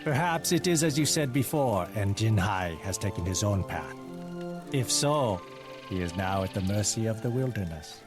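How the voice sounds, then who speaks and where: A man's voice: lowish voice, expressive, moderate pace, over music, lightly acted. A man playing a stern, honourable Chinese fleet captain in a video game cutscene, speaking in a formal, grave, commanding way. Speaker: game character, military leader.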